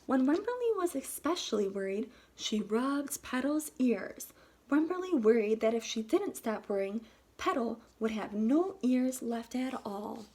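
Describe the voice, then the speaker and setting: A woman's voice: a little high, sing-song, dark tone. A woman teacher reading a children's storybook aloud expressively, in short phrases for young listeners. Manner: pauses to ask listeners questions. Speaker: kindergarten teacher.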